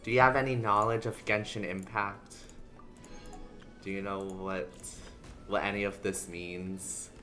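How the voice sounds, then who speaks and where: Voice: low voice, little pitch movement, a little slow, long pauses, sharp tone, soft background music. A game streamer chatting casually and ramblingly to viewers while playing. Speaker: livestreamer. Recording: background noise under the voice. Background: video game sounds.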